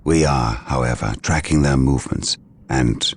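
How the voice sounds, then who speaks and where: A man playing a solemn, devout space marine advisor in a video game, formal and measured. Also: very deep voice, slight background noise. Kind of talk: dramatic video game acting.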